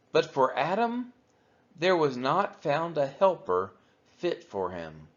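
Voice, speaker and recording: A man reading a Bible chapter aloud for a church, measured and falling at the end of each phrase. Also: mid-range voice. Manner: measured, falls at phrase ends. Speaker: church scripture reader. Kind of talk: steady scripture reading. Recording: dull lo-fi audio.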